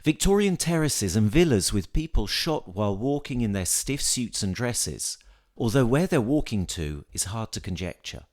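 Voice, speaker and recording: A man reading a scripted literary essay aloud in a measured, reflective manner. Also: mid-range voice, sing-song, a little mellow. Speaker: reader of an essay.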